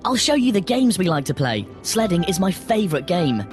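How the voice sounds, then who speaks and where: A bold young boy played by a woman in a fairy-tale audio story. The voice is on the low side and very lively, emphatic in delivery, with faint music behind it. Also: brisk. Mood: bold, excited.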